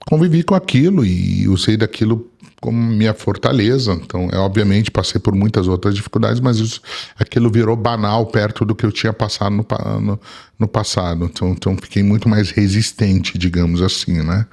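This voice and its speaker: A middle-aged man whose first language is Arabic, a podcast guest recounting his life in a halting, reflective way. He often restarts and repeats words as he talks. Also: lowish voice, gravelly, darkish tone. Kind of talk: personal storytelling in a conversation.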